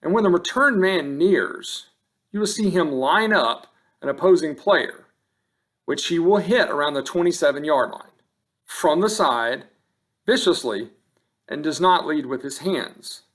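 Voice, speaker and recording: Man narrating with higher, lively pitch. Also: a little slow. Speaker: football officiating instructor. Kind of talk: scripted instructional narration over game film.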